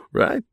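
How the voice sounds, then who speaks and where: A man's voice: fairly bright tone, no echo, studio quality. A man playing an easygoing new shop employee in an audio drama, talking in a casual, conversational way.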